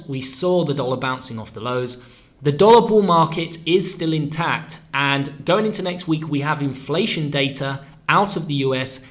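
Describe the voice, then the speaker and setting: A man with a slightly stuffed-up voice from a cold, hosting a weekly currency market forecast in a brisk, explanatory way and stressing key words. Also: a little high, a bit bright. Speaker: forex analyst and show host.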